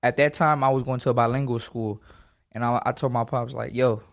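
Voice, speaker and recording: A man in his twenties from Los Angeles talks in an easy, streetwise way as an interview guest. His voice sits at a middle pitch, and he punches his points with emphasis and slang. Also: steady tone, brisk, vocal fry. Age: 20s. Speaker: boxer guest. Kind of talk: casual interview.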